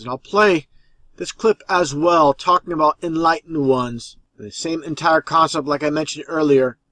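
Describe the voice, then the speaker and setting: A man's voice: fairly high pitch, no echo. A man giving conspiracy commentary over video clips as the host, explaining in an insistent, repetitive way.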